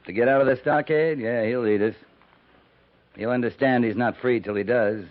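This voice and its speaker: An actor playing a blunt, weary US cavalry captain with a curt, sardonic delivery in a 1950s radio western. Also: male voice, mid-range voice, normal speed, close to an everyday voice. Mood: firm, grim. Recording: muffled narrow-band old 1950s radio recording.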